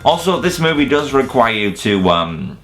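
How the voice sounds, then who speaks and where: A man's voice: medium pitch, sing-song, normal speed, a bit bright, soft background music. A man presenting an opinionated scripted video review in a blunt, wry, sweary way. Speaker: video reviewer presenting a top ten list.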